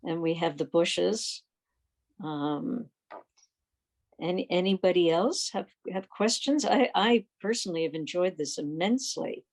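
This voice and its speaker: A woman's voice: fairly low pitch, a little slow, a little mellow. A woman informally moderating questions in an online club meeting.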